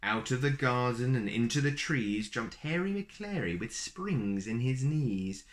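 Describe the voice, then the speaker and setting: Slow-paced man reading to children. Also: medium pitch. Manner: expressive, slow, deliberate phrasing for young children. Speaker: storyteller and host of a children's story channel. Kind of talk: children's picture-book read-aloud. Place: small plain room indoors.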